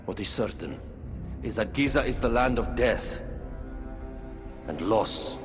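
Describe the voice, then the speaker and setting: A solemn royal adviser lays things out slowly and gravely. His voice sits low, with a long reverb tail and strong score music underneath. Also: lively intonation. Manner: measured, solemn, lecturing. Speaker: queen's adviser. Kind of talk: video game cutscene acting. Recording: reverberant game audio with music.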